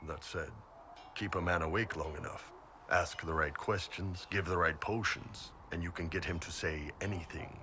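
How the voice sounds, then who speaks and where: A man's voice: rumbling low, pushed and bright, slight background noise, full character voice. A man playing a huge horned mercenary captain in a video game, blunt, shrewd and easygoing, speaking colloquially. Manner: laid-back, blunt, colloquial.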